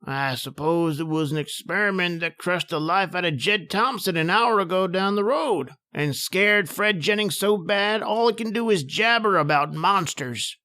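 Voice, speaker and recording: A man's voice: high voice, normal speed, hardly any pauses, sharp tone. A man playing a bossy, suspicious small-town American sheriff in a radio horror play, blunt and folksy. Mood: stern, suspicious. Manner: blunt, folksy, barking orders. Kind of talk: old-time radio horror acting.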